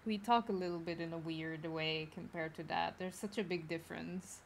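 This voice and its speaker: A woman's voice: lowish voice, steady tone, normal speed, vocal fry, slight background noise. A woman from Belgium with a Flemish accent, whose first language is Dutch, chatting casually with her live-stream viewers and greeting them as she plays.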